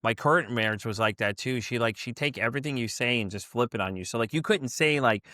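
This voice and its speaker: A man's voice: mid-range voice, quick pace, sharp tone, no room sound, studio quality. A man hosting a podcast interview, talking in a rambling way, full of "like" and "you know". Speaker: podcast host.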